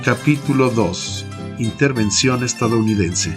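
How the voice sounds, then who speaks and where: A man announcing the title and chapter headings of a school radio drama in a measured, formal way. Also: mid-range voice. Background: loud music.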